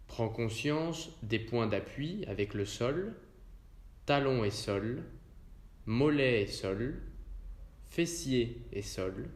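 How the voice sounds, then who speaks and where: A male yoga teacher guides a relaxation session in a roomy, echoing space. His delivery is very unhurried and calm, placed in short, separate phrases. His voice sits at an average male pitch. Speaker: yoga nidra instructor. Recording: roomy echo around the voice.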